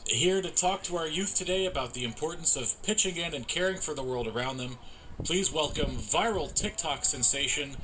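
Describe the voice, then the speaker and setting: An actor playing a genial, folksy middle-aged man who emcees a community event in a measured public-speaking manner. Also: on the high side, expressive, fairly bright tone, slight background noise, poor audio. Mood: genial, welcoming. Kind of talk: public welcome speech.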